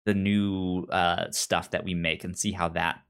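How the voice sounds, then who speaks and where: Slow-talking man with a stutter. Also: low-pitched, little pitch movement, creaky, a bit bright, smooth. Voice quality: stutter, repeated syllables and words. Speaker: podcast co-host. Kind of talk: casual pop culture chat.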